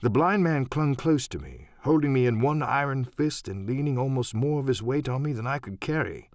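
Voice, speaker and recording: A man narrating an audiobook as a dramatic storyteller, theatrical and expressive in his delivery. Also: medium pitch, normal speed, dry recording, studio quality.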